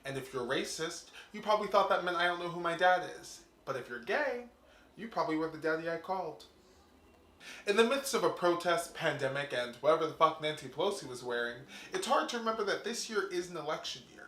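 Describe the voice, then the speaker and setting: Quick-joking male host, roomy sound. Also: fairly high pitch, animated, pushed and bright. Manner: quick, profane, joking delivery. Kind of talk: comedic monologue then interview.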